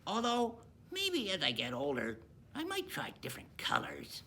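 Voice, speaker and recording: A woman's voice: lowish voice, wildly swooping pitch, moderate pace, bright, forceful tone, exaggerated voice. A woman voicing a cheerful, wacky young pony in a children's book discussion, with some background noise. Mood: cheerful, musing.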